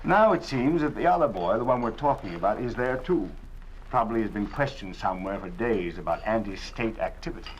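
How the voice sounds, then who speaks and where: A man's voice: lowish voice, expressive, brisk, slight background noise, lightly acted. A man playing a worried, earnest father. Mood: grave. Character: worried middle-aged father, stern when pushed. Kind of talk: 1950s radio drama acting. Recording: dull old radio recording.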